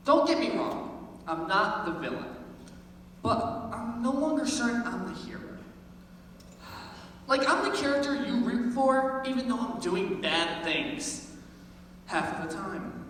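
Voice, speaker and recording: A man's voice: very high voice, a bit bright. A teenage boy performing a comic monologue in a conversational, mock-dramatic manner. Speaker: high school senior performing. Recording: very echoey hall, distant mic, faint noise.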